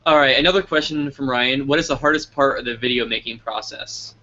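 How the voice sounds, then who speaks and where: A man's voice: mid-range voice, fairly quick, sharp tone. A man from New Mexico hosting a casual interview, chatting and putting questions to his guest. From New Mexico, United States. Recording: muffled lo-fi sound with background noise.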